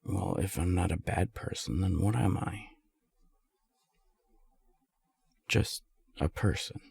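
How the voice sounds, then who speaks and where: Casting: male actor for shy, self-deprecating friend in love, romance audio roleplay; slow, gravelly, halting delivery. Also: deep voice, dry recording, exaggerated voice. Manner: hesitant, halting, soft-spoken. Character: lovestruck, self-deprecating non-binary friend, shyly confessing.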